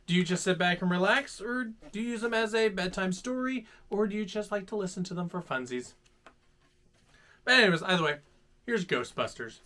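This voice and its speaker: A man hosting a children's story time, reading aloud in a playful, dramatic, animated way. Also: high voice, brisk. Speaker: host reading children's stories.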